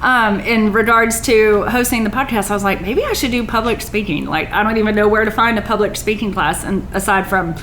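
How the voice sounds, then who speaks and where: Woman, middle-aged, podcast interviewer, casual and chatty, self-deprecating, medium pitch, faint background noise. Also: fairly quick, few pauses, a bit bright, low-quality recording.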